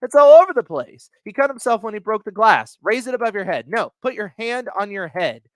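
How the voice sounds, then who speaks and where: A man's voice: high-pitched, sing-song, fairly quick, dry recording. Man acting as exasperated rural woman, indignant and plain-spoken. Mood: agitated. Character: exasperated rural woman holding an intruder at gunpoint. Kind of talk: dramatic reading of an emergency call.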